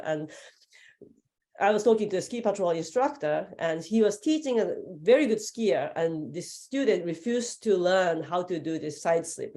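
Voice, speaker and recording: A woman's voice: on the low side, dark tone. A woman professor answering a question on a panel talk, explaining her thoughts carefully. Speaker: guest professor.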